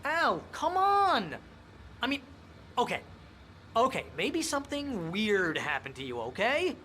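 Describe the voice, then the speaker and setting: High-strung, wisecracking American sidekick. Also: male voice, big pitch swings, measured pace, sharp tone, slight background noise, full character voice. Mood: exasperated, pleading. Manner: sarcastic, fussing, exasperated. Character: nervous, skeptical, wisecracking best friend and agent. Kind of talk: video game cutscene acting.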